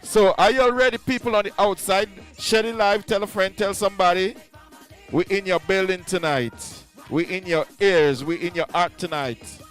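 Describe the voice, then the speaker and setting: A man with a high-pitched voice hosts a radio show as a hype man. He speaks straight to the listeners and repeats his phrases, with music playing faintly behind him. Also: normal speed, fairly bright tone. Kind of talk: hype show intro patter.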